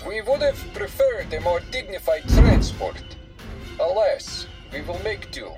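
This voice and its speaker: High, swooping, smug military captor. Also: male voice, sing-song, measured pace. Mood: smug, unhurried. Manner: formal, mockingly courteous. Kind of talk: audio fiction acting. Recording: loud dramatic music bed. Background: music and vehicle sounds.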